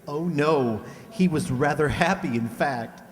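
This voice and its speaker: An actor playing a polite, gossipy hotel employee in a radio play, talking in a measured, informative way. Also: male voice, fairly high pitch, lightly acted. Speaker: amateur radio drama actor. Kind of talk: old-time radio play dialogue. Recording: echoey hall, long reverb.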